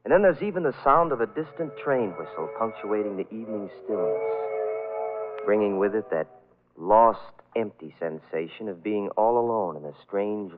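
Casting: actor as haunted male storyteller, lowish voice with big swoops, brooding vintage radio drama. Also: natural voice. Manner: brooding, dramatic, hesitant musing. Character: haunted young man recounting an eerie personal tale. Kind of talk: 1940s radio drama first-person narration. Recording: tinny vintage radio broadcast.

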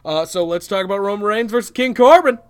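Man higher-voiced, lively joking podcast co-host. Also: clear, resonant tone. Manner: joking, swearing, quick banter. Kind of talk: casual chat.

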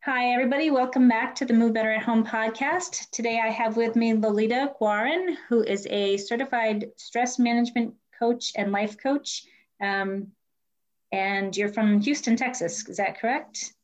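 A woman's voice: a little high, normal speed. A woman hosting a fitness podcast, reading an upbeat scripted intro and then welcoming her guest. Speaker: podcast host, physical therapist.

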